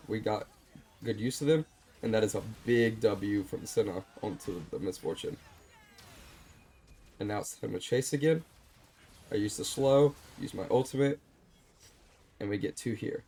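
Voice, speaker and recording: Man, low mellow voice, a bit stuffy, calm self-deprecating game review commentary. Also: normal speed, long pauses. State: slightly stuffed up. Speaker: streamer reviewing his own game. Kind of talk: gameplay commentary over a replay.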